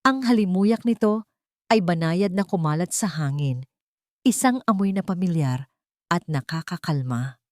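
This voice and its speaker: A woman with a Filipino accent narrating a dramatic audiobook story in a measured, expressive way, phrase by phrase. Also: lowish voice, no room sound, clean studio sound.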